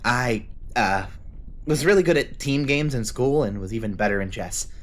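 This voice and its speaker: A man's voice: medium pitch, lively intonation, normal speed, lightly acted. A man playing a thoughtful, self-doubting teenage novice fighter in an audio drama, earnestly reasoning things out. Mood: hesitant, planning. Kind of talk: fan audio drama acting.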